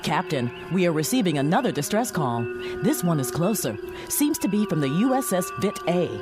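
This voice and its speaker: Low-voiced, lively starship lieutenant, played by a woman. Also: brisk, over loud music. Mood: alert. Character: dutiful, alert female starship communications lieutenant. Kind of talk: scripted space-adventure audio drama.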